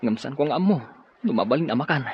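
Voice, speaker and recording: A man's voice: medium pitch, animated, fast, natural voice. A man playing a resourceful castaway in a radio drama, reassuring his companion in a matter-of-fact way. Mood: practical. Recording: dull audio with faint ambient noise.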